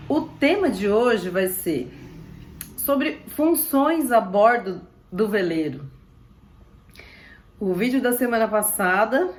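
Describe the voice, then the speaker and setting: A woman's voice: medium pitch, rough recording. A woman from Ilhabela, chatting casually to camera about sailing while losing her voice and coughing. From Ilhabela, Brazil. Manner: chatty and upbeat, with frequent laughs and asides. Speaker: sailing vlogger.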